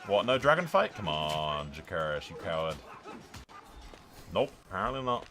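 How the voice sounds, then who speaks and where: Lowish voiced guy doing gameplay commentary, sweary and hyped, sharp forceful tone, music under it. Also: moderate pace. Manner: sweary, excitable, banter-like. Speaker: host of a gaming channel. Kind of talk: playthrough commentary with in-character narration.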